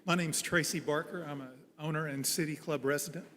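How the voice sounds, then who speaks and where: A man's voice: fairly high pitch, fairly even intonation, brisk, a bit bright. A man giving public comment at a hearing as a local business owner. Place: large meeting room. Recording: echoey hall, some background noise.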